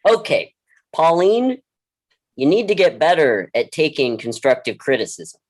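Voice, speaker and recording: An actor plays a blunt, world-weary mentor in a staged drama. The voice sits at a middling pitch, and the delivery is deliberate and earnest, with a dry frankness. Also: sing-song. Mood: stern, frank. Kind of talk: staged play reading, dialogue and monologue.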